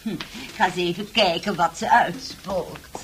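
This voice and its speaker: Haughty would-be countess in a radio play, played by an actress: lowish female voice, slow, imperious, condescending. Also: lightly acted. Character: haughty, domineering woman patient styling herself a countess. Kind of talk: Dutch radio play acting. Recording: noisy old radio drama recording.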